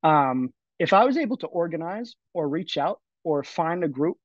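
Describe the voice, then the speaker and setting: A man's voice: fairly high pitch, expressive, moderate pace, dry recording. A man in his twenties speaking casually on a panel over a video call, with frequent fillers and restarts. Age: 20s. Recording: dry, dull lo-fi audio.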